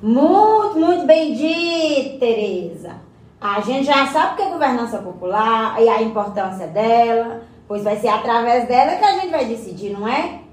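A woman's voice: on the high side, sing-song, normal speed. A woman playing a folksy, outspoken community woman in a radio soap, speaking emphatically and colloquially. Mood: approving, insistent. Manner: colloquial, emphatic, seeking agreement with tag questions. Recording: roomy, echoing voice recording.

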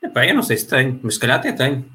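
Fast-talking deadpan man, noisy recording. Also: mid-range voice. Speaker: panelist on a comedy news show. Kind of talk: casual chat.

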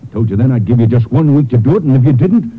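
An actor in an old radio drama playing a gruff, blunt older Texas rancher stepfather. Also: medium pitch, animated, fast. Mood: angry, fed up. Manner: blunt, scolding, folksy. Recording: muffled narrow-band old radio recording.

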